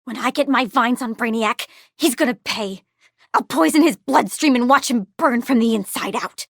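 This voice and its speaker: A woman voicing a young girl villain who loves plants in a video game, childish and moody, swinging from sweet and excitable to petulant and sinister. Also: fairly high pitch, normal speed, creaky, dry recording, studio quality. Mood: gleefully menacing. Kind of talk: video game character voice lines.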